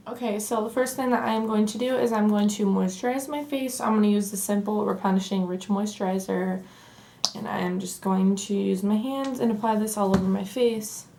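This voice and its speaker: Young woman, Southern accent, hoarse and gravelly, chatty beauty tutorial. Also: mid-range voice, brisk. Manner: chatty and relaxed, says "like" often. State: hoarse from allergies, losing her voice. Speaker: beauty vlogger. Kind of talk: casual get-ready-with-me tutorial.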